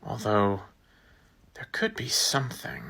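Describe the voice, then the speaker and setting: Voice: mid-range voice, big pitch swings, a little slow, fairly bright tone, slightly rough, pitched below the performer's own voice, full character voice. A voice actor playing an earnest, awkward young man who gets flustered.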